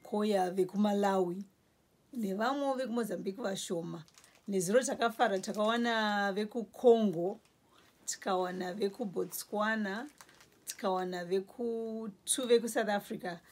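A Zimbabwean woman in her forties runs a small grocery shop and talks about it in an easygoing way. Her voice is mid-pitched with a husky, slightly breathy edge. She sounds close to the camera in a small indoor store. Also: darkish tone. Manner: lists goods slowly while showing shelves. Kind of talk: casual interview in Shona.

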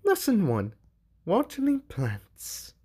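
Man voicing a lively doting owl demon father. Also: sits high, takes it slowly, husky, exaggerated voice. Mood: doting, instructive. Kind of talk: amateur comic dub voice acting.

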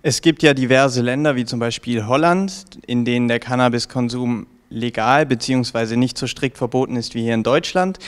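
Young man hosting, mid-pitched voice. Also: normal speed, few pauses, smooth. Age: young adult. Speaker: TV show host, interviewer. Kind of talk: scripted interview questions and presenting. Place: small indoor information room with bookshelves. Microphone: handheld reporter microphone.

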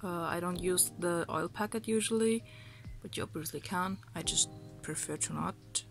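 A woman's voice: on the low side, normal speed, gravelly, faint music behind. A young woman vlogging casually from a small bedroom, hesitant and chatty with many fillers, sounding a little sick. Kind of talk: casual talk to camera and food voice-over.

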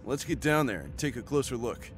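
An actor voicing a determined, driven mercenary commander in a video game, speaking earnestly and decisively. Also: male voice, mid-range voice, big pitch swings, brisk, soft background music, close to an everyday voice. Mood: businesslike.

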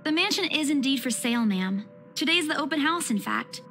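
Casting: a hesitant young female agent in a game, higher voice. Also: lively intonation, fairly quick, bright, forceful tone, faint music behind, exaggerated voice. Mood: nervous. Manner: hesitant, deferential. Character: young female real estate agent, nervous. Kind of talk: video game voice acting.

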